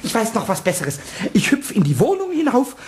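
A man voicing a small mischievous, childlike kobold with a high, squawky voice, chattering excitably in a children's radio play. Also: fairly quick, husky, full character voice. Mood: playful, mischievous. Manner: chattering to himself, giggly, singsong.

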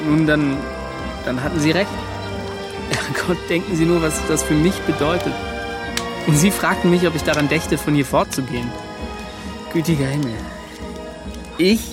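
A man acting as an earnest young adventurer in a radio drama, excitable and impassioned. His voice sits fairly high and he leans emphatically on his phrases, with music playing underneath. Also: moderate pace, pauses often, close to an everyday voice. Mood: fervent, moved. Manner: impassioned, emphatic, breaks into short repeated questions. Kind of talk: German radio play acting.

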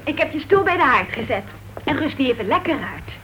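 An actress playing a patient, placating wife in a radio play, soothing and apologetic with gentle reproach. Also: female voice, sits high, very animated, brisk, heavily acted. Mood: gently reproachful. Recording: dull, noisy old radio play recording.

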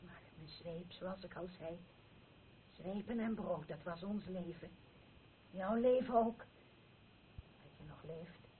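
Older obsessive mother: slow, plaintive, incantatory radio drama delivery, tinny hissy recording. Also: lowish voice. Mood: haunted, insistent. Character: obsessive mother, insistently and plaintively craving bread. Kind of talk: radio play acting.

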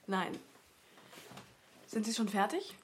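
An actress playing a calm, helpful young woman in a radio drama, speaking politely and matter-of-factly. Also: mid-range voice, slow. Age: young adult. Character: calm, helpful young woman keeping an old man company. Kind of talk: naturalistic radio drama acting. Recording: loud background noise, kitchen clatter.

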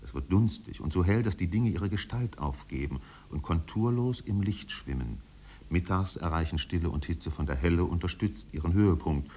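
An actor narrating a 1950s German radio play as a solemn, contemplative storyteller with measured, poetic delivery. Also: male voice, sits low, expressive, warm tone. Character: solemn, contemplative male storyteller of island exiles. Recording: faintly noisy 1950s radio recording.

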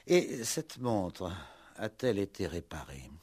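A man's voice: sits low, sing-song, takes it slowly, a little mellow, full character voice. A man playing a wry, insistent police commissioner in a 1960s French radio play, probing with mock politeness and pointed repetition.

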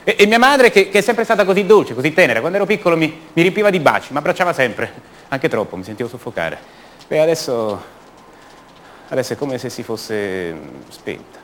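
A man's voice: fairly high pitch, very animated, fairly bright tone, a little hiss or hum. An actor playing a restless, defensive young man from a privileged family in a radio monologue, hesitating and repeating words. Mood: bitter, resigned. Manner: hesitant, halting, repeats words, rhetorical tags. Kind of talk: theatrical monologue, radio drama.

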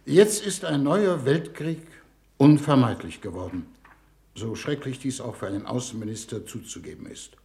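A man playing a grave, authoritative foreign minister, speaking formally and with measured oratory in a 1950s radio drama. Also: mid-range voice, lively intonation, vocal fry, warm tone. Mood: grave, solemn.

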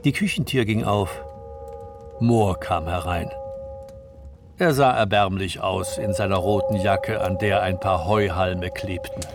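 An actor as the calm, measured third-person narrator of a crime story, reading scripted narration in a radio play. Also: male voice, low-pitched, over music.

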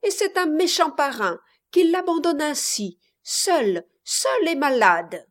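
Woman voicing a willful high-pitched little girl. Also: big pitch swings, slow pace, heavily acted. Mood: indignant. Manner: insistent, exclaiming. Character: willful, bossy, warm-hearted eight-year-old girl. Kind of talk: audiobook character voice.

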